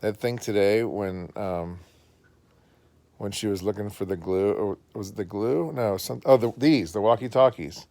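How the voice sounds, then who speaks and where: A man's voice: fairly low pitch, normal speed, gravelly, darkish tone, no room sound. A man, a father chatting casually and encouragingly with his family at home. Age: adult.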